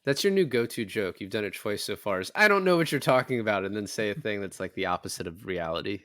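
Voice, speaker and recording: A man's voice: lowish voice, lively intonation, quick pace, fairly bright tone, no room sound, clean studio sound. A man in a casual film discussion, retelling things in a rambling way with some swearing.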